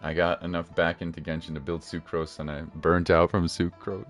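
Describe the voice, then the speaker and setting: A man chatting casually and encouragingly as he hosts a game livestream, using relaxed slang. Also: deep voice, normal speed, soft background music. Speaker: game streamer and host.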